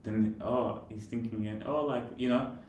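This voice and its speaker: A man's voice: medium pitch, fairly even intonation, normal speed, a little mellow. A man from Kenya chatting casually on a live stream, with lots of "like". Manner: chatty, says "like" often. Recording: roomy echo, distant mic, faint noise.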